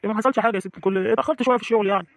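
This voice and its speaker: A performer voicing a devoted adult son with an Egyptian accent who soothes his worried mother in brisk, reassuring words. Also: mid-range voice, no echo, lightly acted. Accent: Egyptian Arabic. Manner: brisk, soothing, calls her "lady of all".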